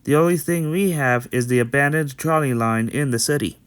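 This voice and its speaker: A man's voice: medium pitch, moderate pace, clean tone, no room sound, clean studio sound, close to an everyday voice. A man playing a friendly, dutiful young male pony in an amateur comic dub, explaining things in an earnest, read-out way.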